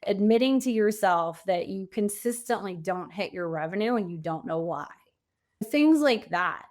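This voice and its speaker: A woman's voice: medium pitch, animated, normal speed, a little mellow. A woman speaking as a coach and guest in a conversational podcast interview, reflective and emphatic in her phrasing.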